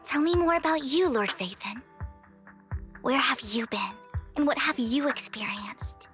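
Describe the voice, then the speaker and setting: An actress playing an earnest, devoted young woman of misfortune in an anime-style game, her voice soft and sometimes breathy. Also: sits high, lively intonation, a little slow. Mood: curious, gentle. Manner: earnest, confiding. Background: game music.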